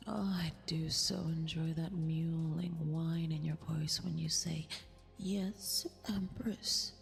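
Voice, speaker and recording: A woman's voice: low-pitched, fairly even intonation, slow, creaky, in a large hall, faint music behind, full character voice. A woman playing a vain, cruel but affectionate eldritch empress in an intimate, half-whispered ASMR roleplay, haughty and teasing. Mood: haughty, menacing.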